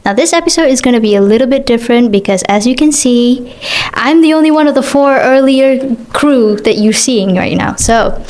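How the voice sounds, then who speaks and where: A woman's voice: fairly high pitch, lively intonation, normal speed, darkish tone. A young woman hosting a casual chat in an easygoing, upbeat way. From Malaysia. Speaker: host.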